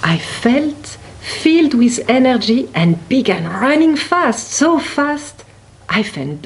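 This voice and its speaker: A woman reading a children's story aloud expressively, with deliberate, dramatic emphasis on key words. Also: high-pitched, sing-song, slight background noise. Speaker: picture book reader at a library.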